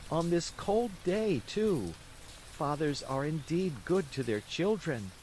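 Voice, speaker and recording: A man voicing an eager, impulsive and boastful wooden puppet boy in a bedtime story, talking in an excitable, dramatic way. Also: on the high side, takes it slowly, slight background noise, pitched above the performer's own voice, rough recording. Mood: boastful, excited. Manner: excitable, dramatic self-talk. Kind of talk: bedtime storybook character reading. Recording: steady rain sounds behind the voice.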